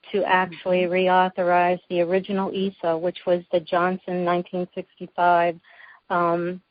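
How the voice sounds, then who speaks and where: Female guest speaker, low voice, little pitch movement, emphatic explainer on a dry, lo-fi conference call. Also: no echo. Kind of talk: explanatory political talk. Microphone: telephone. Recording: dull lo-fi phone conference line.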